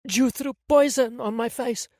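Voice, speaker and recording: A man's voice: extremely high pitch, steady tone, measured pace, a little mellow, no room sound, clean studio sound, voice pushed up. Brief: narrator putting on a desperate, pleading woman for an audio story. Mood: desperate, confused. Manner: pleading, whispering. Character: poisoned, terrified young woman.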